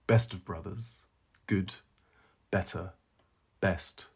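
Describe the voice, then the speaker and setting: A man voicing an eager, polite, bookish young enthusiast who rambles pleasantly in a storybook reading, his voice husky. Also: sits low, slow, exaggerated voice.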